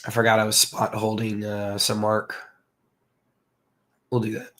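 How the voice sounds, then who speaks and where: A hoarse man from the southern United States, hosting a casual livestream and chatting with viewers. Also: fairly low pitch, completely flat delivery, unhurried, sharp tone. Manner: rambling, filler-heavy, greets chat viewers. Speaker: crypto livestream host.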